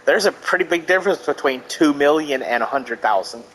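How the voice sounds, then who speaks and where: Casual male gamer, slightly high-voiced. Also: lively intonation, fairly quick, a bit bright, slight background noise, poor audio. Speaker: let's play host. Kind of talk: casual gaming commentary.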